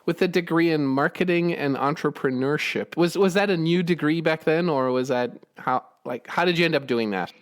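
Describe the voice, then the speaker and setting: A man's voice: fairly high pitch, moderate pace, few pauses. A man hosting a podcast, asking friendly, curious questions and often chuckling. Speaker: podcast host. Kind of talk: conversational interview.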